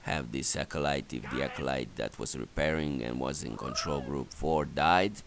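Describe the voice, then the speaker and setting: A man giving step-by-step, halting instructions as commentary for a strategy game walkthrough. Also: rumbling low, fairly bright tone. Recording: clearly noisy voice recording.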